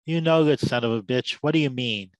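An actor playing an embittered, drunken war veteran who tells battlefield tales in the grand cadence of a preacher. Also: male voice, mid-range voice, a little fast, no echo, natural voice, low-quality recording. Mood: incredulous, agitated. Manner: loquacious, sermon-like oratory with biblical cadence. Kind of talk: dramatised story, theatrical monologue.

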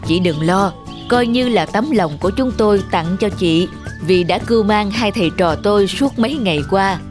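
An actor playing a gentle old male bear wizard mentor in a children's cartoon, speaking patiently and earnestly. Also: medium pitch, moderate pace, over music, close to an everyday voice. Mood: warm, pleased. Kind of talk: dubbed children's moral cartoon.

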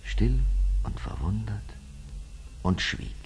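A man narrating a scripted fairy tale as storyteller, measured and expressive, sometimes hushed, with a husky voice. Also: on the low side, slight background noise.

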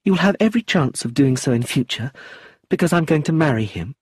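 A man's voice: a little high, expressive, a little fast, slightly rough, dry recording, voice pushed up. A man voicing a blunt, grieving young woman. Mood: firm. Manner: terse, firm, a little raised.

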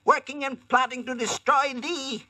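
An actor playing a malevolent old court magician with theatrical, archaic delivery in 1940s-style radio drama. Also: male voice, extremely high pitch, steady tone, measured pace, sharp tone, no room sound, clean studio sound, exaggerated voice. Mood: accusing.

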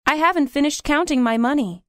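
A man voicing a worried, stubborn middle-aged innkeeper's wife in a high, pleading falsetto. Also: brisk, fairly bright tone, no echo, clean studio sound. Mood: insistent. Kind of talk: audiobook character voice.

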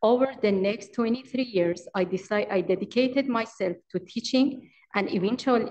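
A woman in her forties with an Iranian accent, reading a prepared presentation at a podium in a halting way as a business owner. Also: mid-range voice, darkish tone, rough recording. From Iran. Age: 40s. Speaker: daycare owner and manager presenting. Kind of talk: prepared presentation at public meeting. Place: large council chamber. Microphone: podium microphone.

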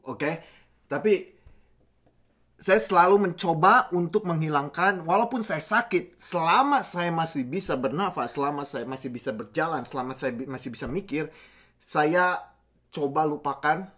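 A man in his thirties, recovering from a cold, gives an animated motivational talk to the camera from a small home office, checking in often with his listeners. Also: a little high. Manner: animated, gesturing with both hands, many restarts and "oke?" checks. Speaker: motivational channel host. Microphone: camera at desk distance.

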